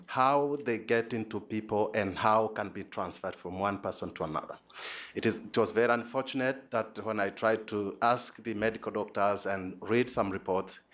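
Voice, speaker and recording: A man with a Tanzanian accent giving an academic conference presentation as a PhD student, hesitant and halting, often repeating words. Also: fairly low pitch, fairly bright tone.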